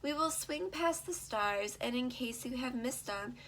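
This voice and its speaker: A woman reading a rhyming picture book aloud to young children, pausing to explain and put simple questions to her listeners. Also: high voice, animated, fairly bright tone, poor audio.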